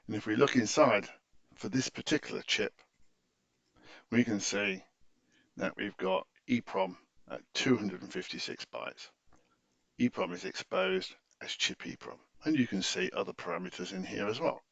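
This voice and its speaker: A man giving an unscripted, methodical screen-share software tutorial in an explanatory, instructive way, on a dull recording. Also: medium pitch, wildly swooping pitch, moderate pace, creaky, no echo.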